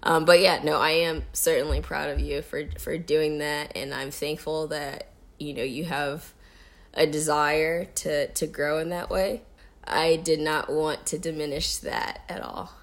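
A woman's voice: low voice, fairly even intonation, unhurried. A woman co-hosting a casual podcast, chatty and upbeat, with plenty of fillers. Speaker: podcast co-host. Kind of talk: casual chat.

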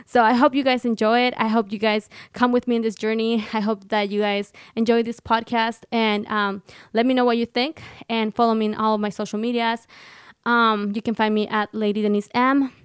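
A woman's voice: on the high side, flat delivery, brisk, smooth, no room sound, clean studio sound. A woman from Mexico with a Mexican Spanish accent, hosting her own solo podcast in an upbeat, encouraging, chatty way. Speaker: podcast host.

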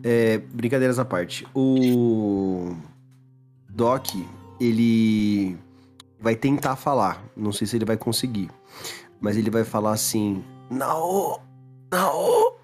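A man's voice: fairly low pitch, takes it slowly, darkish tone, faint music behind. A Brazilian man chatting casually as a player in a tabletop role-playing game. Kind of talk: casual, joking game chat.

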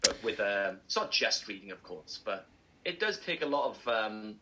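A man's voice: lowish voice, sing-song, moderate pace, pushed and bright, rough recording. A young man co-hosting a casual breakfast show, telling rambling, self-mocking stories. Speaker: co-host.

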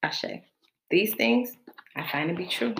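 A woman from the Bahamas who grew up in Florida, hosting a chatty solo podcast with slow, deliberate spoken affirmations and a colloquial way of talking. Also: on the low side, animated, vocal fry, reverberant, a little hiss or hum, low-quality recording. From born in the Bahamas, raised in Florida. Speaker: podcast host. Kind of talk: casual monologue with spoken affirmations.

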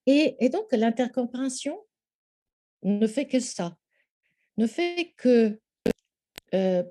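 Slow, mellow woman speaking French. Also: on the high side, animated. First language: Italian. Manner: measured and thoughtful, with frequent hesitations. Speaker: interview guest, language teaching specialist. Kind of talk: explanatory interview answer.

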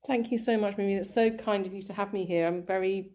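A woman's voice: medium pitch, steady tone, fast talker, darkish tone. A woman in her fifties, a guest in an interview, telling her life story in a rambling, chatty way with many fillers and restarts. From Sri Lanka, raised in England. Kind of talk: casual conversational interview.

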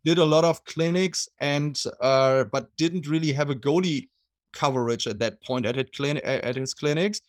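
A man's voice: on the high side, moderate pace, no room sound, clean studio sound. Middle-aged man with a German accent. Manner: hesitant, many fillers and restarts. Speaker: guest, water polo goalie coach. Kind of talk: casual interview.

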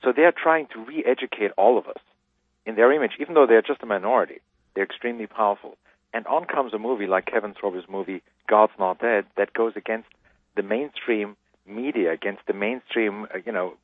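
A man's voice: fairly low pitch, no room sound. Man on a tinny phone line. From Austria. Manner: emphatic, preaching conviction. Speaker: guest author. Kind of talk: radio interview.

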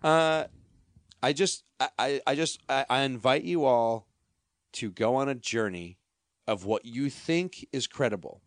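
A man chatting casually on a podcast, telling rambling stories with many restarts and animated asides. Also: medium pitch, unhurried, no echo, studio quality.

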